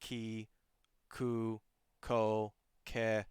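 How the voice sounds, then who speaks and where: A man's voice: fairly low pitch, monotone, very slow, clean tone, dry recording, studio quality. Laughing, rambling podcast host man. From outside Germany. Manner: rambling, laughs often, many restarts. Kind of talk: casual interview chat.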